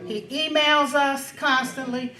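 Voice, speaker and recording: Woman, seventies. Big projecting voice, unhurried and emphatic, plain-spoken informal speech in a reverberant room. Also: high-pitched, fairly even intonation, sharp tone. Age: 70s. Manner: emphatic, outspoken, with pauses for effect. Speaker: reunion speaker. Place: echoey indoor gathering room. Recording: echoey room.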